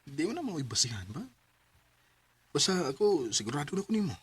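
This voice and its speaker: A man narrating and acting as the lead in a radio drama, earnest and gentle in manner. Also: on the high side, sing-song, normal speed, breathy edge. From Cebu, Philippines.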